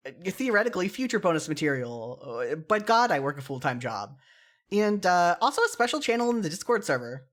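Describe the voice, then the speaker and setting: Informal, earnest podcast host, medium pitch. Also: big pitch swings, a little fast, fairly bright tone. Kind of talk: solo podcast commentary, partly scripted with casual asides.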